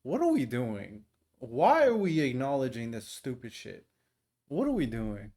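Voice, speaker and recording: A man hosts a reaction video, cutting in with blunt and dismissive rants. His pitch swings widely as he talks. He swears freely and calls people "bro" all the time. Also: wildly swooping pitch, a little slow, mellow. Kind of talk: casual ranting commentary.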